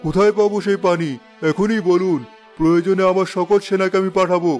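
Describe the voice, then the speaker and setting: A stately fairy tale king acted by a man, with a notably high male voice. He speaks formally, as in a cartoon, over soft music. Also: fairly even intonation, a little fast, natural voice. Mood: anxious. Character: anxious, commanding, remorseful fairy tale king. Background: background music.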